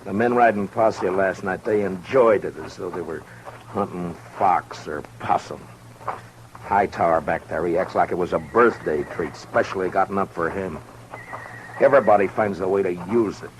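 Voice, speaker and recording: An actor playing a weary, principled American Old West marshal in a radio western, speaking in a dry, measured, quietly sardonic way. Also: male voice, sits low, lightly acted. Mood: weary, troubled. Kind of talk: 1950s radio western acting. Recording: noisy old 1950s radio recording.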